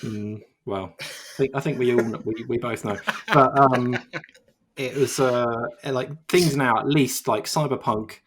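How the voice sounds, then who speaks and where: A man's voice: medium pitch, a little slow, a bit bright. A man co-hosting a video game podcast, chatting casually and rambling with many restarts. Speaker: podcast co-host. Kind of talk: casual chat.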